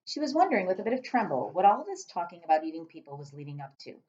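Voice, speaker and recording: A woman teacher reading a children's storybook aloud to pupils with expressive narration. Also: on the low side, fast, dull audio.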